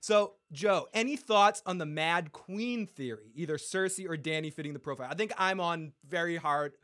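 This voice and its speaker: A man's voice: a little high, moderate pace, fairly bright tone. A man co-hosting a casual fan podcast, loose and profane, laughing often and reading out listener messages. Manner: reads listener messages aloud. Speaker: podcast co-host.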